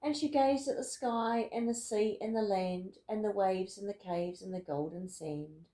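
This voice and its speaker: A woman reading a rhyming picture book aloud in an expressive, deliberate storytelling manner. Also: medium pitch, reverberant. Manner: dramatic, deliberate storytelling with emphatic words. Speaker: storybook reader.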